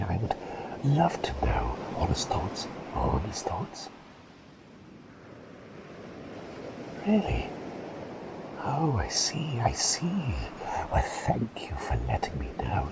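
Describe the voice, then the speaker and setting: A man's voice: very deep voice, heavily acted, low-quality recording. Man as a whispering, eccentric, kindly plague doctor. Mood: eager, doting. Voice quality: breathy whisper with creaky edge. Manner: gentle, soothing, repeats phrases, quaintly effusive. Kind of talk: ASMR roleplay, whispered personal attention.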